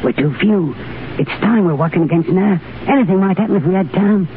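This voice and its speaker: An actor in 1930s radio drama playing a worried besieged soldier with a tense, urgent manner. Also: male voice, high voice, a little fast. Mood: tense, uneasy. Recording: tinny, lightly noisy 1930s radio broadcast.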